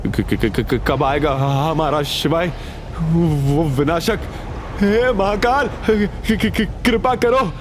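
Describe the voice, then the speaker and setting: Animated male dramatic story narrator. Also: fairly low pitch, noisy background. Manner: suspenseful, theatrical.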